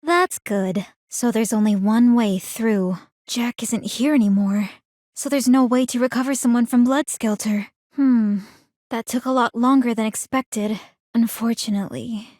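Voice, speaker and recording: An actress playing a calm, analytical young woman in a video game, speaking in a measured, formal and articulate way. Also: a little high, dry recording, clean studio sound. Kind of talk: scripted video game character dialogue.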